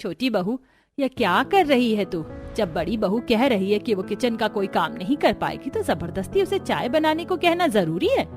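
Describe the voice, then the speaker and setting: A woman's voice: a little high, sing-song, normal speed, a little mellow, soft background music. A woman as a bossy older mother-in-law. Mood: scolding. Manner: commanding, scolding.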